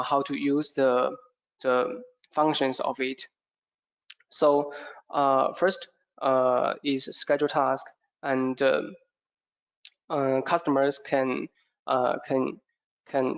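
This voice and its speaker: A man giving a product training presentation in a hesitant, halting way, often repeating words, with a creaky voice. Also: mid-range voice. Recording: dull, muffled lo-fi audio.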